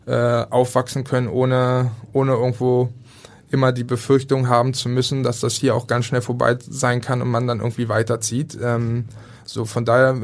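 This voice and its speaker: Flat-voiced hesitant German man. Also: medium pitch. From Berlin, Germany. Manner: hesitant, many fillers and restarts. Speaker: handball goalkeeper. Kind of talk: interview answers.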